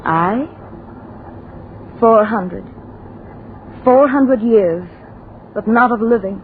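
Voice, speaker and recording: High-voiced fanatical young rebel, old radio. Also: female voice, drawn-out words. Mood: bitter, brooding. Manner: intense, theatrical, insistent. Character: four-hundred-year-old embittered, fanatical young rebel woman of the future. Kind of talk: 1940s radio drama acting. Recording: muffled, noisy old radio recording.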